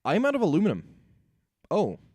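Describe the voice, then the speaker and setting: A man with a raspy, sore voice, talking himself through building machines while streaming a game. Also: high-pitched, huge pitch swings, fairly quick, a little mellow, no echo, clean studio sound. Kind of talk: casual gameplay commentary.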